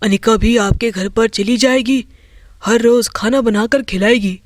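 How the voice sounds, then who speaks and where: A woman voicing a stingy, scornful middle-aged father in a storybook tale, with a gruff, dismissive manner. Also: on the high side, unhurried, dry recording, voice pushed down, close to an everyday voice. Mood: ingratiating.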